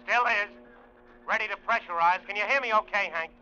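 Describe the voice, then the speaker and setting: Cocky american rocket pilot, old radio drama, very bright slangy voice, muffled old recording. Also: male voice, high-pitched, fairly quick, a little hiss or hum. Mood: keyed up. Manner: breezy, slangy radio patter. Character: cocky, easygoing male test pilot flying a rocket plane. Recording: dull vintage radio recording.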